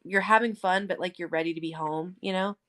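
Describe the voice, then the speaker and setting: A woman's voice: fairly low pitch, fairly even intonation, brisk, a bit bright. A woman in her late twenties talking casually, rambling and filling her sentences with "like" and "you know". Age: 20s. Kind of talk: casual chat.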